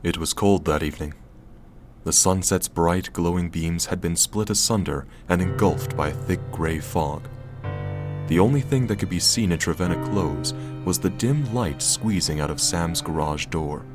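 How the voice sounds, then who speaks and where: An actor playing a droll, tongue-in-cheek storyteller, narrating a comic audio play in a dramatic style. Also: male voice, sits low, normal speed, music in the background, lightly acted. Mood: grand, dramatic. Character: droll, mock-epic male storyteller.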